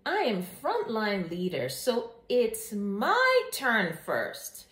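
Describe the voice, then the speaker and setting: A woman's voice: a little high, wildly swooping pitch, extremely slow, a bit bright, roomy sound, full character voice. A woman voicing a bossy, insistent little schoolgirl from a children's storybook, in a demanding, exclaiming manner. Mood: bossy yelling.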